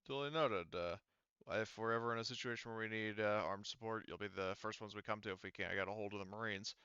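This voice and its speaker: A man acting out an earnest, cautious starship captain in a tabletop game. His low, bright voice comes haltingly, with reassurance and regret. The sound is dry and a little dulled. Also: clear, resonant tone, dry recording, lo-fi sound. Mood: formal, measured. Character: grateful, regretful male hospital ship captain, earnest and cautious. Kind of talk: tabletop game role-play.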